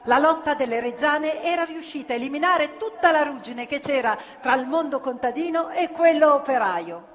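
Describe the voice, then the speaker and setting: A woman telling a story on stage, earnest and measured. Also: high voice, animated, fairly bright tone. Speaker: stage actress narrator. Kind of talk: theatrical storytelling. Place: theatre stage. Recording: live theatre with long reverb. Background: live violin and piano.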